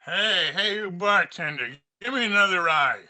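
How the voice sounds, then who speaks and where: A man's voice: sits high, expressive, moderate pace, bright, forceful tone, exaggerated voice. A man playing a belligerent, demanding drunk at a bar in a 1940s style radio drama.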